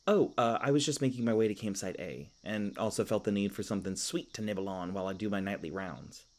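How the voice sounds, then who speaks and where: A man's voice: lowish voice, quick pace, darkish tone, lightly acted. A man playing a flustered, skittish young elf park ranger in an audio roleplay, stammering and awkward with goofy folksy exclamations. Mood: awkward, friendly.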